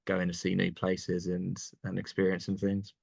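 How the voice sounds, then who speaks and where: A young man from England chatting casually as an interview guest, relaxed and laughing often. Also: low voice, moderate pace, gravelly, dry recording, muffled recording. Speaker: guest, online seller.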